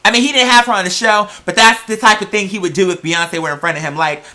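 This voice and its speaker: A host in a casual comic rant, telling a story in a sassy, profane, emphatic and animated way. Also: mid-range voice, quick pace.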